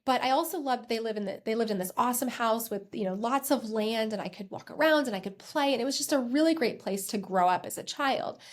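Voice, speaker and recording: A woman's voice: a little high, fairly quick, few pauses. An American woman in her thirties hosting a podcast, telling a personal story in a conversational, self-reflective way with emphatic repetitions. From United States. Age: 30s. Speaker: podcast host and accountant.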